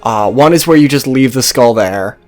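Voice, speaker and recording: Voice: mid-range voice, expressive, normal speed, darkish tone, faint music behind. A game commentator talking casually and off the cuff, in a sweary, sardonic, offhand manner. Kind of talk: casual, improvised gameplay commentary.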